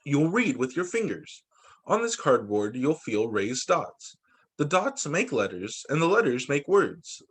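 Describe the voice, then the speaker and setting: A man reading a picture book aloud to children as a teacher, in an expressive, dramatizing way. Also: a little high, a little slow, husky. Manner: expressive, dramatizing quoted lines.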